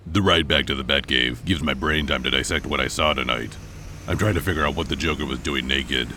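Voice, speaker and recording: Very deep, grim brooding vigilante. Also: male voice, brisk, sharp tone, slight background noise, full character voice. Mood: grim, pensive. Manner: terse, grim. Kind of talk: noir comic-book narration.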